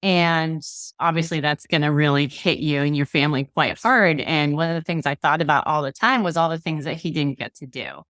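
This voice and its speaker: A middle-aged woman from Massachusetts, a guest author on a video call, telling her story in a relaxed, reflective way with soft laughs. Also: sits low, a little fast, hardly any pauses, no echo, studio quality. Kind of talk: casual interview chat. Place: home room with bookshelves.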